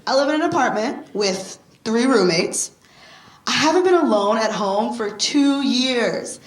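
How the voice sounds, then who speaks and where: A woman's voice: fairly high pitch, lively intonation, a little slow, echoey room. A woman playing a flippant, spendthrift young roommate who does amateur stand-up, with a wry, punchline-driven delivery in a comedy. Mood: wry, joking. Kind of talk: sitcom acting, observational stand-up delivery.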